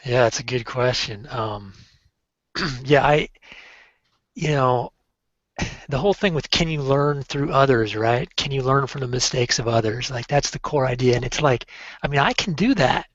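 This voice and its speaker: A man's voice: medium pitch, moderate pace, no room sound. A man in a casual online discussion, talking in a hesitant, agreeable way with many fillers and restarts. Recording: dry, dull lo-fi call audio.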